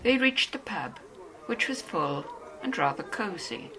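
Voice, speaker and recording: A woman's voice: fairly low pitch, big pitch swings, measured pace. A woman narrator reading a novel in a scripted, storytelling style. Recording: faint background noise and ambience.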